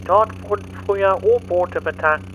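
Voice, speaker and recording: Man putting on a high, muffled tour guide. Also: normal speed, fairly bright tone. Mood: matter-of-fact. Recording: muffled, with background noise.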